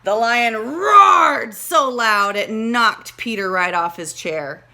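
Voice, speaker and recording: A woman teacher reading a children's story aloud with dramatic emphasis, pausing to speak directly to her pupils. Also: on the high side, expressive, bright, forceful tone, a little hiss or hum. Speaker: second grade teacher. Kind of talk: expressive storybook read-aloud with teaching asides.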